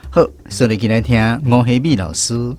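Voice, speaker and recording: A Taiwanese man presents a radio language lesson in a mid-pitched voice. He introduces each turn and moves the segment along, with faint music behind him. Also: moderate pace, darkish tone.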